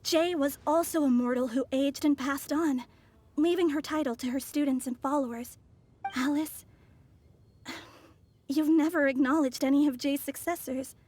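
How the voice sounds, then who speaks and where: An actress plays an earnest young woman scholar in a fantasy game. Her high voice is polite and composed, and she speaks with measured sincerity. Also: steady tone, a little mellow, close to an everyday voice. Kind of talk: video game voice acting.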